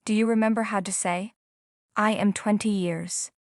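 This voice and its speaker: A woman's voice: mid-range voice, fairly even intonation, no echo, clean studio sound. Woman teacher prompting learners. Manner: slow, prompting questions to the viewer. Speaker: language teacher. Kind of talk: scripted English instruction.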